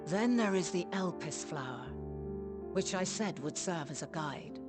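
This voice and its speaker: A woman playing a thoughtful, resolute figure of an ancient people in a video game cutscene, speaking formally and deliberately. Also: fairly low pitch, animated. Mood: pensive, questioning. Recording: loud music under the voice.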